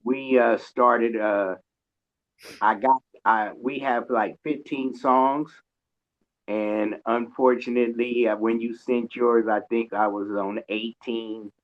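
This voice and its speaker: Guy hosting a radio chat, slow and informal, jokey, kinda muffled lo-fi sound. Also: mid-range voice, steady tone. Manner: informal, colloquial, self-described loud joker. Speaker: radio show host. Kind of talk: casual interview chat. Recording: dull lo-fi audio.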